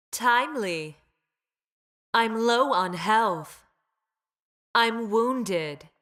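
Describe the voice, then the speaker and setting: A woman's voice: mid-range voice, pushed and bright, heavily acted. A woman voicing a cool, calculating, condescending female scientist fighter in a video game, with a clipped, precise, clinical manner. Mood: polite, reserved, then urgent, clinical. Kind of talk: game character voice lines.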